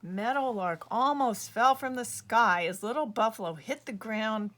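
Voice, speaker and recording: A woman reading her own children's book aloud as an expressive storyteller. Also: on the high side, a little slow.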